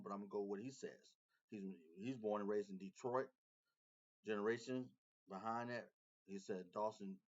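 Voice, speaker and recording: A man's voice: on the low side, lo-fi sound. A man hosting a reaction video, commenting on a clip with emphatic, repetitive casual talk.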